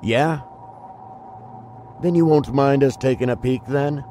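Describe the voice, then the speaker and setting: A man's voice: mid-range voice, slow pace, warm tone, a little hiss or hum, lightly acted. A man acting as a surly bandit toll collector in a fantasy audio drama, blunt and intimidating. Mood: impatient, threatening.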